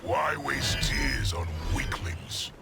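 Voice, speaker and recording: An actor playing a brutal, pompous warlord emperor in a video game, commanding and contemptuous, with a gravelly voice. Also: male voice, medium pitch, lively intonation, very slow, over loud music, full character voice. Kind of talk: video game cutscene acting.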